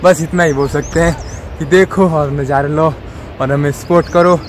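A man's voice: on the high side, fairly even intonation, moderate pace, slight background noise. A man in his twenties chatting casually to camera as a travel vlogger, holding a phone at arm's length outdoors. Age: 20s. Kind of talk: casual vlog chat to camera. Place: outdoors on a forested mountain trail.

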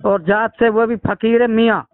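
High-voiced Mewati man on a tinny phone line. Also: steady tone, moderate pace, no echo. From Mewat region, India. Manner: rambling, aggrieved, swears. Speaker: caller making a complaint. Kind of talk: phone conversation, recounting a grievance. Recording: narrow-band, tinny phone call recording.

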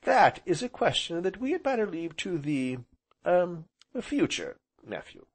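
A man voicing a placid, dreamy gentleman in a storybook, speaking with slow, grave courtesy. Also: sits high, very animated, voice pushed up, exaggerated voice. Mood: kindly, hesitant. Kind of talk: audiobook character voice.

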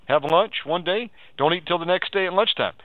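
A man with a slightly high voice counsels as a radio preacher, exhorting with repeated phrases and leading questions. The sound is dry and narrowed like a telephone line. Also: brisk, no room sound. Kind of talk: live pastoral counselling on a radio show. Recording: tinny phone-like radio audio.

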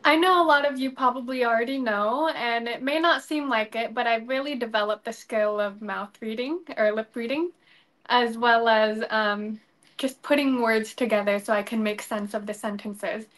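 A woman's voice: fairly high pitch, normal speed, a bit bright. Earnest young woman on a video call. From United States. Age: 20s. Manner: earnest, articulate, polished. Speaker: pageant candidate, guest. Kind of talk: interview answers. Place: indoor room.

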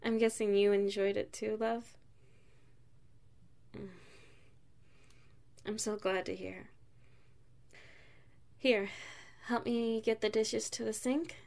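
A woman playing an affectionate, playful wife in an ASMR roleplay, speaking in a soft, intimate manner. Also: mid-range voice, fairly even intonation, unhurried, gravelly, pauses often. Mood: tender, relaxed. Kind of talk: ASMR roleplay, one side of a dialogue.

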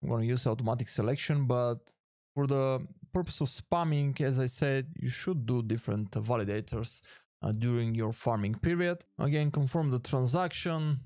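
A man with a mid-pitched voice walks listeners through a casual screen tutorial. He thinks aloud a little hesitantly as he goes, recorded close and dry with no room sound. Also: moderate pace, a little mellow, dry recording. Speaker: tutorial host.

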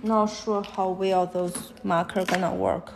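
A woman with a Chinese accent, whose first language is Chinese, talks haltingly while she draws fashion illustrations on a live stream. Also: mid-range voice, little pitch movement, noisy background. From China. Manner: halting, thinking aloud while drawing. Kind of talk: live-stream drawing commentary. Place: at a desk, drawing on paper.

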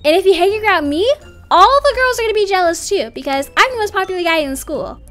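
A woman voicing a cocky but earnest popular schoolboy, slangy and insistent, in an animated roleplay story. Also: very high-pitched, fast talker, faint music behind.

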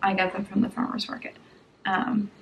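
Young woman, gravelly vocal fry, chatty and giggly, hungover, roomy kitchen echo. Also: mid-range voice, little pitch movement, normal speed, noisy background. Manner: chatty, giggly, lots of likes and ums. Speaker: cooking vlogger. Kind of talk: casual chatty cooking vlog. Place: home kitchen and dining room, indoors.

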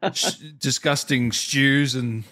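A man's voice: mid-range voice, steady tone, slow pace, bright, forceful tone. A man in his thirties hosting a casual interview, speaking close to a mic in a small streaming room. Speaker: interview host. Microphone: studio mic close up, with headset.

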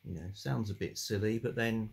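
Calm, gravelly-voiced older man. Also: lowish voice, moderate pace. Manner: calm, rambling with many fillers. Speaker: book channel host. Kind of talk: casual chat about old books. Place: home study lined with bookshelves.

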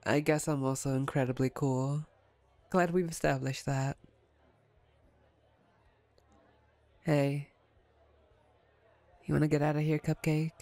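A woman playing a playful, smug, flirtatious female vampire captor in an ASMR roleplay, with a teasing, wry, unhurried manner. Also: sits low, darkish tone, clean tone, no room sound.

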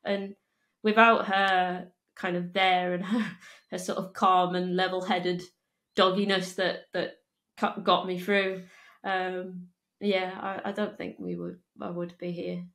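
A woman, chatting casually as an interview guest, halting and restarting often. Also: lowish voice, little pitch movement, a bit bright. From Liverpool, England. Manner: halting, with frequent restarts and ums. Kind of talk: casual conversational storytelling. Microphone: earphone mic on a video call. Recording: echoey room.

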